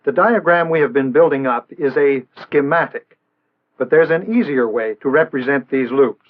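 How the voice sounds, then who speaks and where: An American man narrating a 1960s instructional film in a measured, didactic way with precise enunciation. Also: mid-range voice. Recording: band-limited, tinny vintage film soundtrack.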